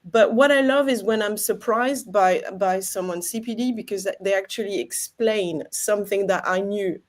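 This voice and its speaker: A woman's voice: mid-range voice, normal speed. A woman French teacher with a French accent, a native French speaker, talking enthusiastically with hesitant fillers in a panel discussion.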